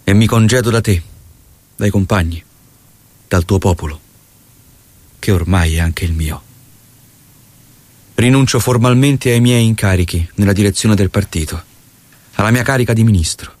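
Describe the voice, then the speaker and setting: An actor playing a tender, solemn revolutionary saying farewell in poetic, measured stage-drama style. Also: male voice, fairly low pitch, a little mellow, no echo, natural voice. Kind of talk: poetic stage-drama acting, reciting letters.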